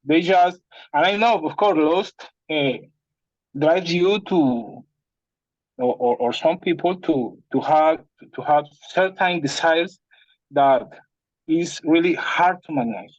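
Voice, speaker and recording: A man with a Spanish accent, whose first language is Spanish, shares a personal problem haltingly and searches for his words. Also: fairly high pitch, animated, no room sound, dull audio. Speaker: participant sharing a personal problem.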